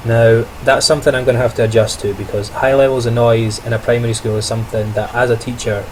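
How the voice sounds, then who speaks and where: A man's voice: mid-range voice, little pitch movement, fast, slight background noise, rough recording. A young man, a trainee teacher, talking through his day in a casual video diary, chatty and reflective with frequent self-corrections and small laughs.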